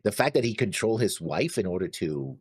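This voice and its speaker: A man co-hosting a reaction show, chatting casually in a halting, excitable way. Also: lowish voice, breathy edge, no room sound, studio quality. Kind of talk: casual chat about an anime episode.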